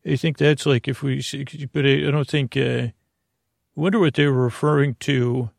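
A middle-aged American man with a very creaky, gravelly voice, recorded close and dry. He talks as a bedtime podcast host, meandering from thought to thought, stammering over words and letting sentences trail away. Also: medium pitch, fairly even intonation, dry recording. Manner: halting, digressive, with stammered repeats and trailing thoughts. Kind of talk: rambling, meandering bedtime monologue.

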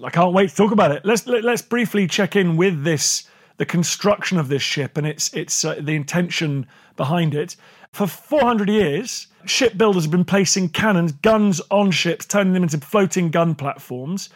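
A man's voice: sits high, dry recording, studio quality. A man with a dental lisp, hosting a history podcast and interviewing a guest. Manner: warm thanks, lively questioning. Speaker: podcast host.

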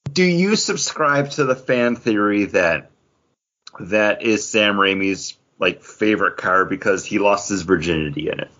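A man co-hosting a podcast with a husky, rough-edged voice, trading casual banter in a wry, teasing way. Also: lowish voice, lively intonation, measured pace, bright, forceful tone, dull audio. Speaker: podcast co-host.

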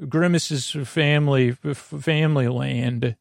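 A man with a gravelly voice hosting a sleep podcast, rambling through a bedtime monologue, over-explaining and trailing off mid-thought. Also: medium pitch, fairly even intonation, slow pace, no room sound, studio quality. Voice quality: gravelly, creaky. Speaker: podcast host.

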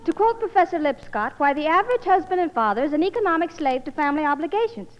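Earnest high-voiced college-age daughter. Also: female voice, lively intonation, fast. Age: young adult. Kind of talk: 1950s radio comedy acting. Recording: muffled old radio broadcast, echoey hall.

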